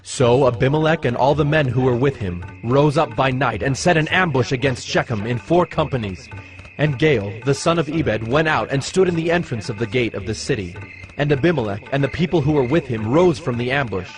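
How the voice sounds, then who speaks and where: A man's voice: mid-range voice, lively intonation, fairly quick. A man narrating dramatized scripture as a scripted storyteller. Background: music.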